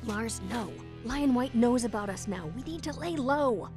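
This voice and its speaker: A woman's voice: a little high, expressive, normal speed, over music, close to an everyday voice. An actress playing a stern young rebel woman in a video game, pleading and cautioning. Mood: urgent pleading. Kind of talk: video game cutscene acting.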